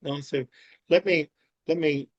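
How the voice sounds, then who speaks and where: A man answering a listener's question in a calm, reflective teaching manner, stopping now and then to clarify. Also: a little high, unhurried, slight background noise. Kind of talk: gentle conversational teaching. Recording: dull lo-fi audio.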